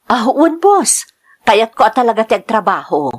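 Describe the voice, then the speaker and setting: A woman's voice: fairly high pitch, very animated, moderate pace. A woman playing an earnest, eager middle-aged worker in an audio drama, with an Ilocano Filipino accent. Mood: eager, respectful. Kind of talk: radio-style drama acting.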